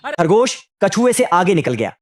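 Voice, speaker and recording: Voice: medium pitch, very animated, moderate pace. A narrator telling comic scripted stories over cartoon clips in a crude, slangy way.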